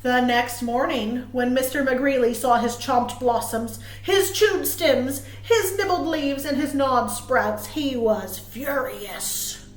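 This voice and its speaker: A high-voiced woman reads a children's story in a roomy, echoing space. She works as a storytime librarian, giving words dramatic emphasis and speaking expressively to her young listeners. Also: slow, few pauses. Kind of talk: animated read-aloud to young children.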